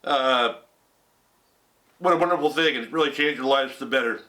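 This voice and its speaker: A man's voice: medium pitch, brisk, pushed and bright, rough recording. A man hosting a casual weekly fishing report, rambling with many fillers, just getting over a cold. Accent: Southern Californian. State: recovering from a cold.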